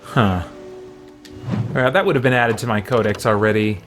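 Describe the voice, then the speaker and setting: A man's voice: fairly low pitch, normal speed, music in the background. A middle-aged man doing casual gameplay commentary, thinking aloud in a hesitant, musing way. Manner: hesitant, musing, mutters to himself. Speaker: game streamer.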